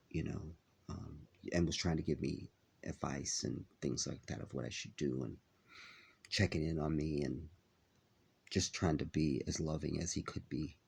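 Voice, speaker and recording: Slow, gravelly congested man. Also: very deep voice. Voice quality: nasal. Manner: halting, many filler pauses. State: stuffy, congested after illness. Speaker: vlogger. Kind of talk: casual vlog talk to viewers.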